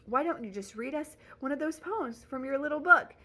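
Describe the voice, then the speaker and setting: A woman voicing a prim, shallow housewife who speaks in an indignant, high tone. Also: expressive, moderate pace, pushed and bright. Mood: coy. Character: shallow, prim suburban housewife, easily offended.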